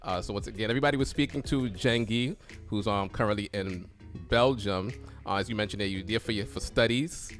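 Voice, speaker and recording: A man's voice: on the low side, a little fast, a bit bright, faint music behind. A man hosting a live radio show, talking informally with a guest and often circling back to thanks and recaps.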